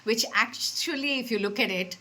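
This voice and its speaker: Bright-voiced Indian woman interviewer. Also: fairly high pitch, normal speed. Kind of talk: conversational interview.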